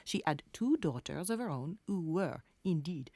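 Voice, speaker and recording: A woman's voice: sits low, lively intonation, normal speed, mellow, dry recording. A woman playing a kindly French hotel maid, soothing and coaxing, with a French accent, in a children's audio drama. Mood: soothing storytelling.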